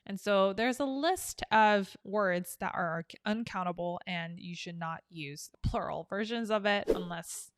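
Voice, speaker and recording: A woman's voice: medium pitch, measured pace, hardly any pauses, no echo, studio quality. A young woman from South Korea, teaching an English lesson in a chatty, upbeat way. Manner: chatty and upbeat, explaining with examples. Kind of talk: casual online English lesson.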